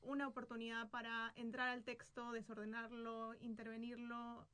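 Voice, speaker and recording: A woman's voice: on the high side, completely flat delivery, moderate pace, a bit bright, smooth. A woman with a Bolivian accent speaking as a guest poet in a casual, conversational interview. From Santa Cruz, Bolivia.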